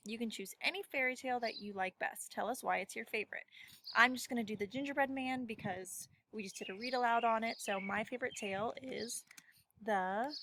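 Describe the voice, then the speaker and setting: A woman's voice: a little high, sharp tone. A woman teacher giving a casual lesson to children, thinking aloud as she demonstrates.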